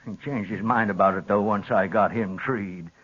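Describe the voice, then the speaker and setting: Blunt old rancher, lo-fi radio. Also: male voice, on the low side, moderate pace, fairly bright tone, husky. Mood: defiant. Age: older. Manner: folksy rural phrasing. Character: blunt, plain-spoken old frontier rancher. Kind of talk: old-time radio western acting. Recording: dull lo-fi 1950s radio recording.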